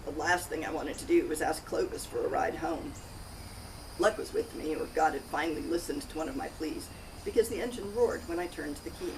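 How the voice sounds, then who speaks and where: A woman's voice: low voice, a little fast. A woman author reading first-person narration from her own cozy mystery novel. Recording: distant mic, loud outdoor noise, birds, crickets.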